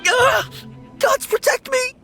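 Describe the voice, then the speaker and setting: A man's voice: extremely high pitch, steady tone, slow pace, vocal fry, heavily acted. An actor playing a timid young male undertaker in a video game, jittery, polite and formally deferential. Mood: terrified. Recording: game music behind the voice.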